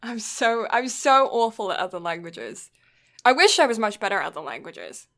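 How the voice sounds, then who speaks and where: A woman's voice: on the high side, very animated, moderate pace, fairly bright tone. A woman chatting casually on a podcast, rambling along and laughing easily. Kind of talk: casual chat.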